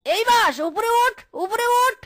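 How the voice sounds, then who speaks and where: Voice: very high voice, animated, a little slow, background noise. An actor voicing a mischievous little fox in a cartoon, exclamatory and taunting with gleeful prankster energy. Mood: indignant, amazed. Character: mischievous, cheeky little fox who plays vengeful pranks. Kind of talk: cartoon character acting. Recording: music behind the voice.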